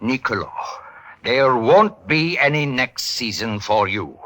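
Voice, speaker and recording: An actor playing a stern, exasperated opera company boss in a vintage radio thriller, speaking in a pointed, deliberate way. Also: male voice, mid-range voice, animated, sharp tone. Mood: stern, scolding.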